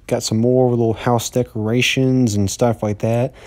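A man's voice: mid-range voice, little pitch movement, moderate pace, darkish tone, no room sound. Vlogger in his thirties, chatty and repetitive, recorded dry on a phone. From Mississippi, USA. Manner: rambling, repeats words, upbeat. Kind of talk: casual walk-through vlog. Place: large indoor store. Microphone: handheld phone.

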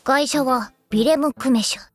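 A woman voicing a calm young girl inspector who reports in a formal, deadpan way in an anime audio drama. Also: high-pitched, a little fast, a little hiss or hum, natural voice. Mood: matter-of-fact.

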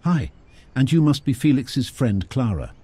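A man's voice: on the low side, big pitch swings, fast, slightly rough, pitched above the performer's own voice. Man doing an eager young farm girl. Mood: cheerful. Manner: eager, bright, upbeat. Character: cheerful curious young girl helping on a farm.

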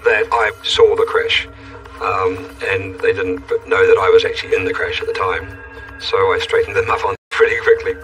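Deep-voiced man recalling a crash. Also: fairly even intonation, moderate pace, fairly bright tone. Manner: plain, reflective storytelling. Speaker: crash survivor interviewee. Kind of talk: documentary interview recollection. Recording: distant mic with music.

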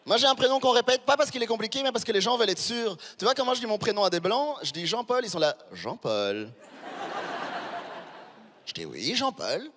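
A man's voice: sits high, sing-song, fairly quick, a bit bright, big-room sound, a little hiss or hum. A man in his thirties, born in Rwanda, doing a stand-up routine with a handheld mic on a big theatre stage, punchy and chatty, teasing the crowd. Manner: punchy, conversational, teasing the audience. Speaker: stand-up comedian. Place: large theatre stage before a live audience. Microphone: handheld microphone.

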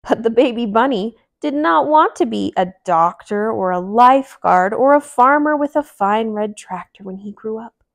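A woman in her thirties reading a picture book aloud to young children in an expressive, animated storytelling way. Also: fairly high pitch, moderate pace, vocal fry. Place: small living room, indoors. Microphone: clip-on lapel mic.

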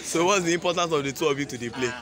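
Casting: male interviewer, slightly high voice, outdoor vox pops, loud crowd around him. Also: very fast. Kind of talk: on-the-spot interview. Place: outdoors among a crowd on a campus.

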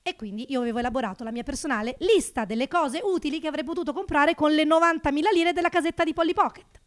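A woman in her thirties with a high voice works a small comedy club stage, talking into a handheld microphone. Her delivery is sarcastic and animated, leaping up in pitch and volume whenever a punchline lands. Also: fast. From Naples. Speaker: stand-up comedian. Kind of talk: stand-up comedy routine.

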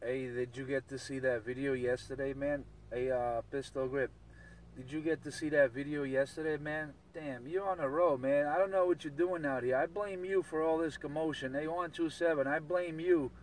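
A man's voice: fairly high pitch, steady tone, moderate pace. A man bantering on CB radio in a repetitive, chanting way, repeating catchphrases over a radio transmission. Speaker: CB radio operator. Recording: noisy CB radio, distant mic.

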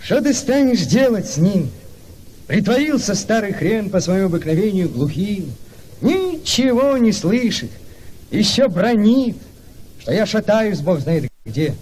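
Young Cossack suitor, radio play, male, unhurried, mellow, impassioned, faint noisy ambience. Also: sits high, heavily acted. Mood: grumbling, reassuring. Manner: tender, impassioned, sighing. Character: lovesick young Cossack lad, ardent, pleading, teasing. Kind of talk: radio play acting, romantic and theatrical.

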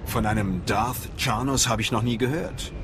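A man's voice: medium pitch, moderate pace, fairly bright tone, a little hiss or hum, lightly acted. A man playing a cool, confident Sith inquisitor in a German game dub, terse and self-assured. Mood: wary, confident.

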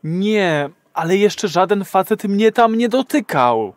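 Nervous awkward guy patient in an audio drama, slow and sheepish, very dry recording. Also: sits high, big pitch swings, no room sound, heavily acted. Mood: nervous, wary. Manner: hesitant, sheepish. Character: nervous, awkward male first-time patient.

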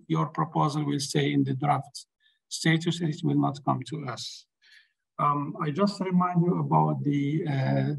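A man hosting an online webinar, explaining steps with many pauses and fillers, on a video call mic. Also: a little high, vocal fry, husky, rough recording. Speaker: webinar host. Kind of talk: webinar presentation. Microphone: webcam on a video call.

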